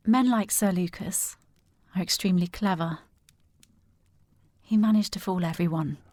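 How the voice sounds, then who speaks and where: A woman's voice: lowish voice, normal speed, a little mellow, no room sound, clean studio sound, natural voice. An actress playing a determined, genteel lady with an English accent in a period audio drama, speaking formally and with command. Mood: grave, composed.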